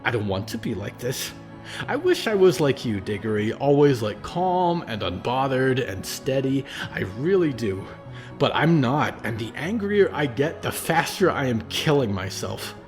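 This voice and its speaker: A man's voice: on the low side, expressive, a little slow, faint music behind. A man voicing an angry, guilt-torn young man who burns when enraged. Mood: anguished. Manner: strained, rising, outbursts.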